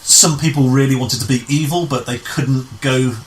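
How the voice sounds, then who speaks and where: A man's voice: mid-range voice, steady tone, normal speed, slight background noise, rough recording. A man chatting as an interview guest, repeating and rephrasing as he goes. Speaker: guest, amateur pantomime writer and director. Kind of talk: conversational radio interview.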